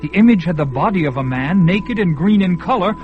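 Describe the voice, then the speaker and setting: A man's voice: high-pitched, animated, brisk, phone-quality sound, faint music behind. A man narrating a fantasy radio drama with dramatic, swelling delivery. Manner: dramatic, swelling at peaks, hushed in quiet parts.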